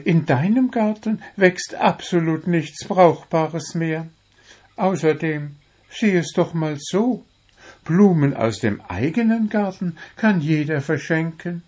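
A man's voice: sits high, a little mellow, voice pushed up, exaggerated voice. A man voicing a small, proud, cajoling blue bird with romantic airs in a storybook. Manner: confident, cajoling, unruffled. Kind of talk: storybook character voice.

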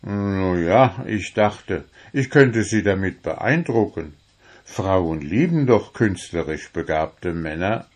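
A man's voice: deep voice, expressive, slow, full character voice. A man voicing an earnest, bashful gnome who fancies himself a poet, in a storybook. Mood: sheepish. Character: lovestruck male gnome, earnest amateur poet. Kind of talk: storybook character voice.

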